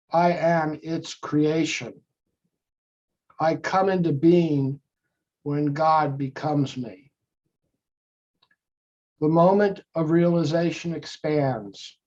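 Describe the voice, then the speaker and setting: A man leading a guided meditation, speaking in measured short phrases with long pauses between them. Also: fairly high pitch, darkish tone. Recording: dull lo-fi audio.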